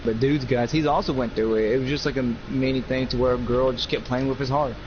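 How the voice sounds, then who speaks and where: A man's voice: mid-range voice, steady tone, fast. An eighteen-year-old man chatting casually as a gaming co-host, earnest and emphatic, often repeating himself. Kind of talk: casual chat over gameplay. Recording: noisy, muffled lo-fi mic.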